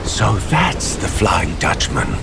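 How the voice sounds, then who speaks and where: A man's voice: on the low side, wildly swooping pitch, takes it slowly, gravelly, noisy background, heavily acted. A man playing a suave, smug gambler villain in a video game, with formal, eloquent, teasing speech. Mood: cool, calculating. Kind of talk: video game cutscene acting. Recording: loud background music.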